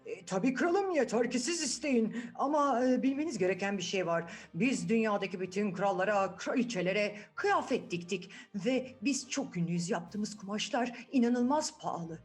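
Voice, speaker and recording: Woman as a flattering, sly swindler tailor. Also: mid-range voice, moderate pace, few pauses, close to an everyday voice. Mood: flattering, boastful.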